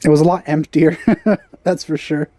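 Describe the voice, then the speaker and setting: A man's voice: a little high, flat delivery, moderate pace, warm tone, no echo. A man doing casual let's play commentary, joking and reacting aloud as he plays. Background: game audio and music.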